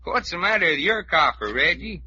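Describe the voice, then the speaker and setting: An actor playing a folksy, cheeky adventurer with a Southern US drawl in an old-time radio drama. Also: male voice, high voice, animated, moderate pace, sharp tone, exaggerated voice. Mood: teasing. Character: folksy, cheeky male adventurer, unfazed by police. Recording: dull, faintly noisy old radio recording.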